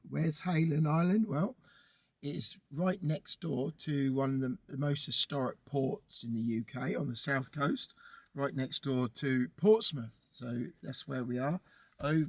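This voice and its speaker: Male co-host with a cold, raspy and gravelly, relaxed rambling chat outdoors. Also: medium pitch, dry recording. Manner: relaxed, rambling, pointing out sights. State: has a cold. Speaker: podcast co-host. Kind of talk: casual chat on location. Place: outdoors by the sea on a windy day.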